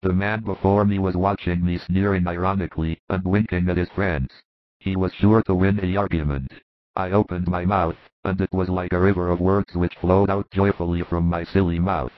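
A man voicing a humble male disciple who recounts in an earnest, reverent way, lower than the narration. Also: level tone, moderate pace, no room sound, close to an everyday voice. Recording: muffled dry recording.

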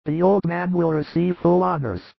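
A man voicing a warm-hearted older fisherman with a hoarse, gravelly voice and a comforting, fatherly manner. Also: high voice, moderate pace, no room sound, voice pushed up, full character voice. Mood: resolute. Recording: dull lo-fi dry recording.

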